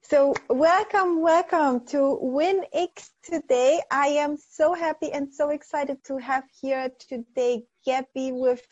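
A woman's voice: high voice, takes it slowly, few pauses, darkish tone, muffled recording. A woman hosting a podcast interview, talking in an effusive, enthusiastic motivational way. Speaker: podcast host.

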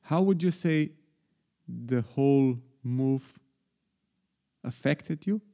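Hesitant middle-aged man with a mellow voice. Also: medium pitch, takes it slowly, creaky. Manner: questioning, hesitant with many uhs. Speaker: podcast host, the guest's father. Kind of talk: casual interview chat.

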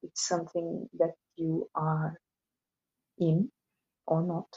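A woman with an Indian accent talking reflectively as an interview guest, explaining things in a measured way. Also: low-pitched, little pitch movement, dull audio. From Assam, northeast India. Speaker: interview guest, yoga teacher. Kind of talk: thoughtful podcast conversation.

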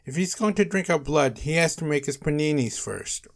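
Guy doing a bratty little giraffe kid, way pitched up, yelling, demanding. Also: animated, moderate pace, vocal fry. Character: stubborn, bratty baby giraffe child demanding food.

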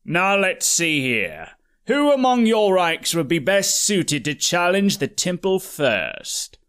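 A man voicing a sinister, vain, scheming professor in an audiobook, condescending and deliberate. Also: sits high, very animated, a bit bright, smooth, full character voice. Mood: smug menace.

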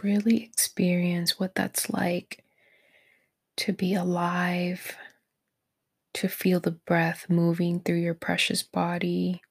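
A woman leading a guided meditation in a soft, breathy, near-whispered voice, gentle and encouraging toward the listener. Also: fairly low pitch, monotone, slow pace. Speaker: meditation guide, podcast host.

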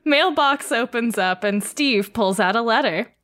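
A woman reading stage directions aloud at a comedic table read, with a wry, lively delivery. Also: on the high side, unhurried.

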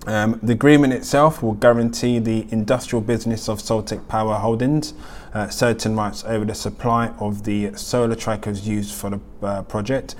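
Low flat guy reading news, lots of ums, faint hiss behind. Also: normal speed. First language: not German. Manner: hesitant, many ums and uhs. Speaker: host. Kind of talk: weekly news roundup vlog.

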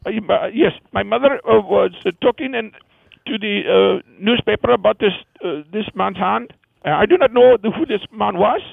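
A man doing a high rasping Indian woman. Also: no echo, heavily acted. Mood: rambling. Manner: halting, broken English with many fillers. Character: woman amputee from India with transplanted hands. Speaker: phone-in comedy caller. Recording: tinny phone line.

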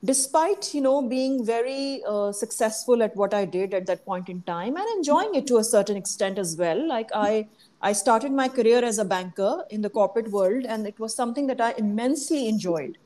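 A woman guest in a casual interview, speaking earnestly and reflectively, often pausing with fillers as she recalls. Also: fairly high pitch, animated, mellow. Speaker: guest, hypnosis coach.

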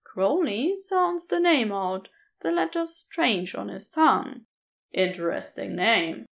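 A woman narrating a scripted story in an expressive, measured storytelling manner. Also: sits high, gravelly. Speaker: podfic narrator.

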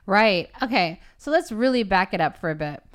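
A chatty woman in her forties from Los Angeles co-hosts a casual conversation. Her voice is smooth and clear at a middle pitch, and she teases her partner easily. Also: lively intonation, normal speed, fairly bright tone. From Los Angeles, California. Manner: chatty and teasing. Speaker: co-host.

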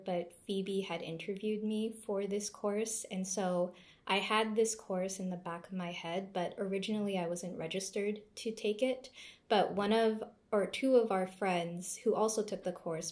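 A young woman speaks as an interview guest. She talks thoughtfully, pausing often as she searches for her words. Her tone stays casual and conversational. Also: medium pitch, darkish tone. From Canada. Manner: thoughtful, reflective, with searching pauses. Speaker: interview guest, former seminar student.

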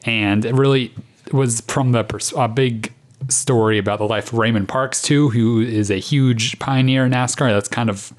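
A man's voice: mid-range voice, fairly even intonation, normal speed. A young man co-hosting a podcast, telling stories in casual chat. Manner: chatty, tells stories. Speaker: podcast co-host.

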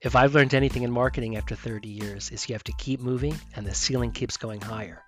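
A man's voice: medium pitch, fairly even intonation, fast, fairly bright tone, faint music behind. A man in his fifties hosting a podcast, reading an upbeat promotional intro. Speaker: podcast host. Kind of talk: scripted podcast intro, then interview.

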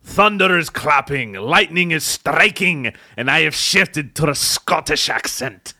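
A man co-hosting a podcast, chatting casually with deadpan jokes. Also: on the high side, unhurried, no echo, clean studio sound. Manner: deadpan joking. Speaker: podcast co-host. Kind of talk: casual chat.

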